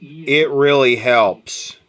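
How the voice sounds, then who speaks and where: A man's voice: mid-range voice, very slow, fairly bright tone. A man giving unscripted hands-on repair commentary, muttering short remarks as he thinks aloud. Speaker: radio repair hobbyist and video host.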